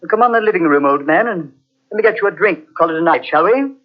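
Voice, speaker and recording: A smooth, evasive host. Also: male voice, high-pitched, animated, fast. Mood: reassuring. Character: secretive male host brushing off fears. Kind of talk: 1940s radio acting. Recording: dull old radio transcription.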